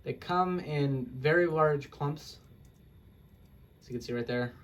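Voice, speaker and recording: A man's voice: a little high, slow pace. Young man rambling through a game tour. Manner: rambling, self-deprecating, corrects himself. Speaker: Minecraft video creator. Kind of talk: casual gameplay walkthrough.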